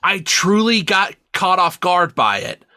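An excitable man with a high voice that swings widely as he talks. He rambles emphatically through a casual, sweary review chat, sounding bright and close in a dry recording. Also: measured pace, no room sound. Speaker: podcast co-host.

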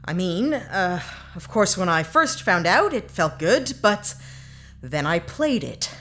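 A woman's voice: on the low side, sing-song, slow, a bit bright, exaggerated voice. A woman playing an earnest, indignant, plain-spoken investigator heroine in a sci-fi audio drama. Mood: awkward, hesitant. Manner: earnest, blunt, outspoken. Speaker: talk-show guest character.

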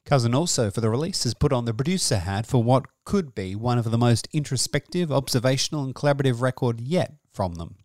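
Male music podcast presenter. Middle-register voice, earnest and measured, dry studio sound. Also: mid-range voice, animated, darkish tone, no echo. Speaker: podcast host. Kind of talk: scripted music-news presenting.

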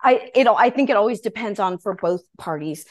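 A woman's voice: medium pitch, animated, brisk. A woman in her forties hosting a podcast in a casual, candid, emphatic and informal way. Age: 40s. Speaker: podcast host. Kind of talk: casual chat.

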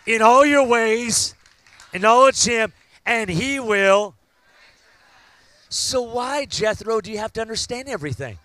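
A man, preaching a sermon and building to loud, fervent call-outs to the congregation. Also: extremely high pitch, animated, unhurried, long pauses. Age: 50s. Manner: preaching with rising shouts and call-outs to the crowd. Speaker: pastor.

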